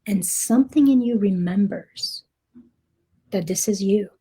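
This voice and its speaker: A woman guiding a meditation in a soft, breathy, gentle voice, speaking deliberately with long pauses. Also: mid-range voice, rough recording. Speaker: meditation guide. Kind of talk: guided meditation, slow soothing narration.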